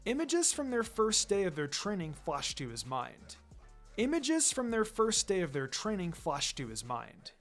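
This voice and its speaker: Animated man reading a story over music. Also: high-pitched, measured pace. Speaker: fan fiction reader. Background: background music.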